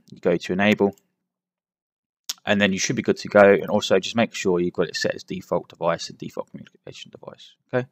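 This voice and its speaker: A man's voice: medium pitch, dry recording, studio quality. A man hosting a casual tech walkthrough, chatting to viewers in a friendly way into a headset mic. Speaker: tech tutorial host. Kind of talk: casual screen-recorded walkthrough. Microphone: close headset microphone.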